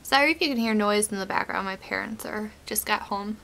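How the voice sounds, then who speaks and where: A woman's voice: mid-range voice, fairly quick, vocal fry. A woman in her twenties getting over a cold, chatting casually through a makeup tutorial with lots of "um" and "like", recorded on a close webcam in a small bedroom. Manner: chatty, relaxed, many fillers like "um" and "like". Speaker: beauty vlogger.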